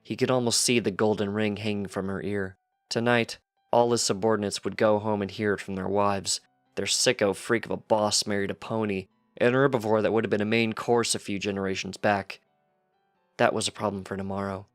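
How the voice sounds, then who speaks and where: A man's voice: on the low side, fairly even intonation, brisk, dry recording, soft background music. A man reading a piece of fiction aloud as scripted narration.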